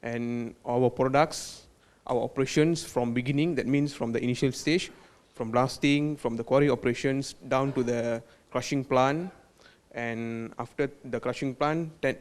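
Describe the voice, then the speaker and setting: A man from Malaysia with a Malaysian accent, a quality control manager giving a technical product presentation, halting with fillers and restarts and dropping in Malay words. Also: medium pitch, fairly even intonation. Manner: hesitant, many fillers and restarts.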